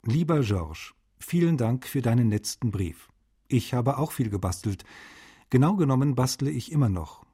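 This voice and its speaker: An actor playing a male translator who reads wry, friendly letters in a soft, husky voice for a radio feature. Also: mid-range voice, expressive, normal speed. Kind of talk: scripted radio feature reading.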